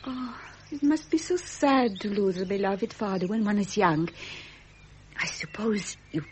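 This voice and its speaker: An actress playing a sweet, modest young princess, naive but gently forthright, in a 1970s British radio drama. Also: female voice, mid-range voice, measured pace, natural voice. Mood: anxious, modest. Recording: muffled vintage radio, faint hiss.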